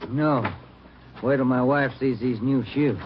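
An actor playing a wry, plain-spoken police detective sidekick in old radio drama style, on a vintage radio recording. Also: male voice, medium pitch, unhurried, close to an everyday voice. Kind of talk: 1940s-50s radio acting. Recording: dull, noisy old-time radio recording.

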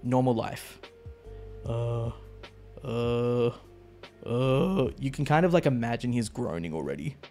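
A man in his thirties streaming a manga reaction, rambling and swearing casually into a close boom mic. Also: lively intonation, slow, long pauses, dark tone, soft background music. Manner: rambling, swearing, laughing often. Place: home streaming room.

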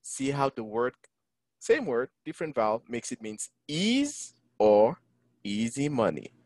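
A man teaching an online Quran lesson, engaging his listeners and reciting Arabic verses quickly. English is not his first language. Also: medium pitch, big pitch swings, darkish tone, no room sound, studio quality. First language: neither Arabic, English nor French. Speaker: imam teaching a Quran class. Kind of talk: online Quran lesson over a video call. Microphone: video call.

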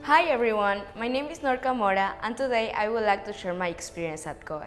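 A woman's voice: medium pitch, a little fast, faint music behind. A young woman from Ecuador giving an earnest, recited student testimonial. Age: young adult. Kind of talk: prepared testimonial. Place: bright indoor studio backdrop.

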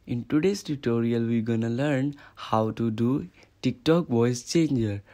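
A man's voice: mid-range voice, a little slow, warm tone. A man presenting a step-by-step app tutorial in simple, instructional narration.

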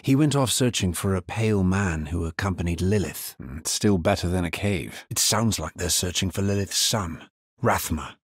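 An actor playing a grave, solemn necromancer hero in a fantasy video game, speaking in a measured, formal manner. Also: male voice, low-pitched, animated, slightly rough, dry recording, clean studio sound. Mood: grave, restrained. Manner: measured, somber, formal delivery. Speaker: video game player character. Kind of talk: scripted fantasy game voice lines.

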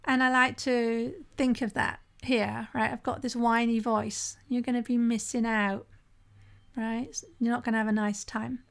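Chatty woman with a bright voice. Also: fairly high pitch, level tone, a little slow, gravelly. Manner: conversational, often asks "right?", occasional restarts. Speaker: podcast host and sober-living coach. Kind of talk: solo podcast monologue.

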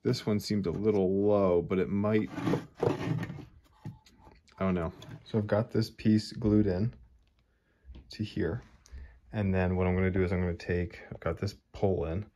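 A man's voice: deep voice, unhurried, long pauses. A man casually explaining his model railroad scenery work, thinking aloud as he goes. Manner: relaxed, thinking aloud while working. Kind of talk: casual how-to narration. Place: hobby room at a model train layout.

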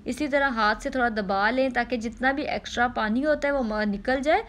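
High-voiced woman. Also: fairly bright tone. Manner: patient, repeats instructions, frequent "ah" pauses. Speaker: cooking channel host. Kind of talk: step-by-step cooking demonstration.

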